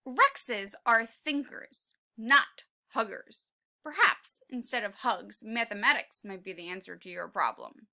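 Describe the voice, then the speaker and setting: A woman voicing a big, serious father T. rex in a storybook, measured and didactic. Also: a little high, expressive, gravelly, sharp tone, pitched below the performer's own voice, heavily acted. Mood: pedantic.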